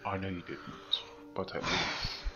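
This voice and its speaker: A man's voice: deep voice, little pitch movement, takes it slowly. A man voicing a troubled, grave stallion detective with a subdued, uneasy manner in a fan-made audio drama. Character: troubled male pony detective, grave and weary. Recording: dull lo-fi, music behind.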